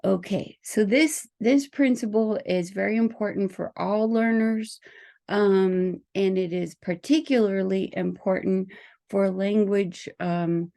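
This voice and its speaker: A woman who speaks English natively, giving an informal explanatory lecture as an educator in a careful, halting manner. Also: medium pitch, steady tone, creaky, dark tone. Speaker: teacher educator explaining a learning principle.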